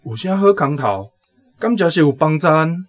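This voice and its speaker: An actor as a sociable country uncle in a Taiwanese radio play. His voice sits a little high, a man's rustic Taiwanese speech over quiet music. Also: animated, moderate pace, lightly acted. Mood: friendly, curious. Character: friendly village man, the uncle hosting an old friend. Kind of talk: radio drama acting.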